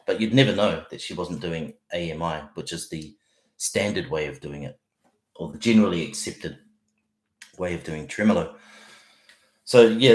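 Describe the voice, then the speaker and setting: A man talks guitar on an early-morning livestream, his low voice croaky and broken by throat-clearing. He wanders through fillers and restarts in a friendly, unhurried way. The small room around him adds a noticeable echo. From New Zealand. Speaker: guitar teacher. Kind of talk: casual livestream lesson. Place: small home office.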